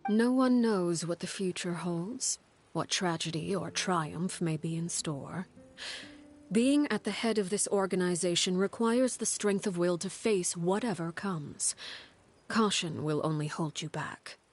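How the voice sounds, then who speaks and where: A woman playing a stern, composed leader of a secret family in a video game, speaking in a measured, formal, authoritative way. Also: on the low side, soft background music. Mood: firm, grave. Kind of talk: scripted video game dialogue.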